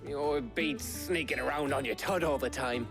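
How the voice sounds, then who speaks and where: An actor playing a chummy, easygoing companion in a video game. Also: male voice, mid-range voice, normal speed, over music, lightly acted.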